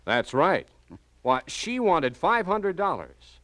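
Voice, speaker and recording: A man's voice: sits high, big pitch swings, takes it slowly, a bit bright, dry recording, heavily acted. An actor playing an anxious married small-town businessman, hesitant and flustered, in 1940s radio style. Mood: distressed.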